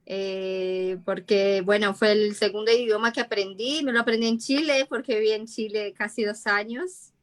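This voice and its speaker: A woman's voice: mid-range voice, moderate pace, hardly any pauses. Brazilian woman talking spanish on a panel, chill, lots of ehs and little laughs. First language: Portuguese. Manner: relaxed, laughs easily, many fillers. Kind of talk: casual panel talk.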